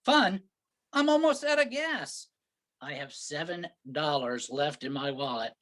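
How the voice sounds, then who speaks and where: A man's voice: fairly high pitch, expressive, unhurried, fairly bright tone. A man playing an impatient, sarcastic, exasperated husband on vacation in an amateur staged play reading. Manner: sarcastic, griping, incredulous.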